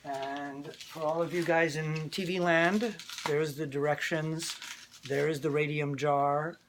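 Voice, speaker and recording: A man presenting antiques in a casual, excited show-and-tell, chatty and quick to giggle. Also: on the high side. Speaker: museum presenter showing antiques. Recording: clear background noise, clicking.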